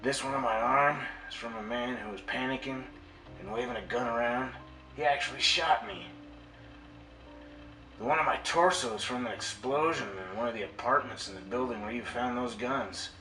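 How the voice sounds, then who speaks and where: An actor playing a wounded, weary but stubbornly hopeful first responder in a radio play, subdued and plain-spoken. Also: male voice, medium pitch, normal speed, pushed and bright, recorded from a distance. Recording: echoey room, music behind.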